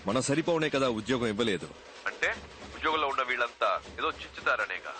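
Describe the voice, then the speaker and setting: Cocky young gang leader guy in a film, defiant n taunting, music loud behind him. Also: medium pitch, animated, quick pace. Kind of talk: film drama dialogue.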